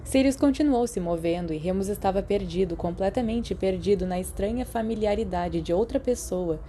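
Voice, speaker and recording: A woman with a Brazilian accent reading a story aloud as an audiobook narrator, in an expressive scripted style. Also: medium pitch, moderate pace, slight background noise.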